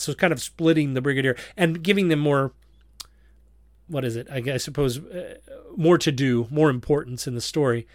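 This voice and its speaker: A man's voice: medium pitch, no echo, studio quality. A man hosting a casual review discussion, feeling his way through his points in a hesitant, circling way. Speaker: podcast host.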